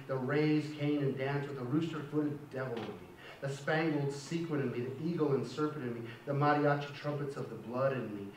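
A middle-aged man giving a university poetry lecture, chatty, who keeps checking in with "right?" and reads a poem aloud. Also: medium pitch. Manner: conversational, keeps asking "right?". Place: lecture hall. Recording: echoey hall from far off, faint hiss.

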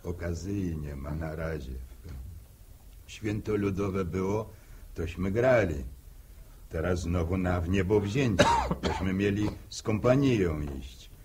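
An actor playing an easygoing older village farmer in an old radio drama, with a husky voice and rustic phrasing. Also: male voice, low voice, a little mellow, a little hiss or hum. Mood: relaxed, folksy. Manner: unhurried, rustic folksy phrasing. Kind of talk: 1960s radio drama acting.

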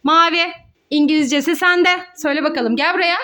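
A young woman playfully hosting a children's game as a mother, encouraging and coaxing in an animated, sing-song way. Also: sits high, fairly quick.

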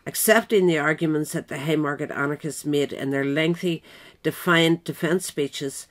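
A woman narrating a written biographical essay in a measured, formal way. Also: low-pitched. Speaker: narrator, reader.

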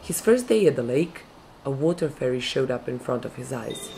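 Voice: mid-range voice, lively intonation, normal speed, creaky, dark tone, rough recording. A narrator reading a scripted fairytale story. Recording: music behind the voice.

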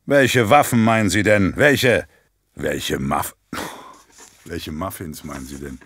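A man's voice: low-pitched, slow, a bit bright, husky, no room sound, studio quality. A man acting lines for an audio drama in outtakes, breaking off with joking asides.

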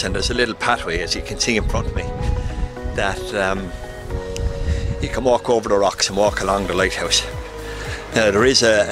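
A man giving an informal, explanatory guide to locations as the host of a photography vlog. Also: fairly low pitch.

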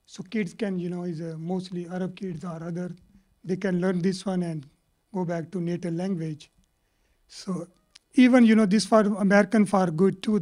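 A middle-aged man from Pakistan with a Pakistani accent, a Punjabi native speaker, giving rambling, halting panel remarks full of fillers into a handheld mic in a lecture hall. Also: high-pitched, a little mellow.

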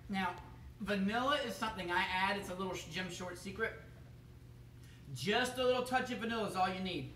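A man's voice: high-pitched, sharp tone, slight background noise. A young man playing a goofy, bumbling male TV chef who rambles, chuckles and fumbles in a home kitchen. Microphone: camera across the room. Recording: echoey room, mic from far off.

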